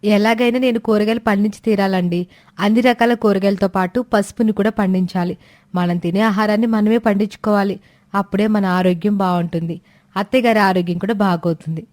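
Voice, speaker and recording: A woman's voice: medium pitch, steady tone, fairly quick, darkish tone, close to an everyday voice. Cartoon daughter-in-law: young, caring, determined, voiced by a woman. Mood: earnest. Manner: earnest, respectful. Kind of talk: animated storybook voice acting.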